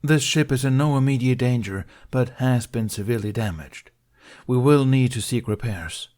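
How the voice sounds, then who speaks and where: A man playing a calm, commanding Jedi master. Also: mid-range voice, voice pushed up, lightly acted. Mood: grave, formal. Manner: formal, measured, enunciated. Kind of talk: acted audiobook dialogue in a science fiction story.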